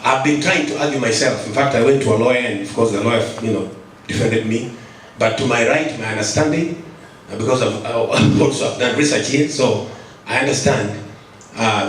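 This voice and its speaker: A man's voice: mid-range voice, a bit bright. An earnest man from Kenya stands up at a conference and makes his case, stumbling and repeating himself as he presses on. His voice is caught from a distance in a large, very echoey hall, with a faint hiss behind it. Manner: earnest, repeats words and restarts, grows emphatic. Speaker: audience member at a conference. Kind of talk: speaking from the floor at a conference.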